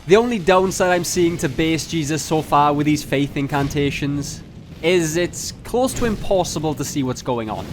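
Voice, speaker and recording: A man's voice: on the high side, lively intonation, normal speed, background noise. A man narrating his gameplay as a video host, mixing mock-epic roleplay with casual, profane jokes. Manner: profane, joking. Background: music.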